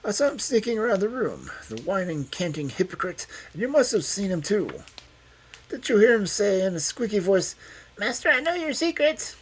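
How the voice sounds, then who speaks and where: Man acting agitated old gentleman, pitched high. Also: unhurried, breathy edge, heavily acted. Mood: wild, accusing. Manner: excitable, rambling, muttering exclamations. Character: agitated, feeble-minded old gentleman with guilty cunning.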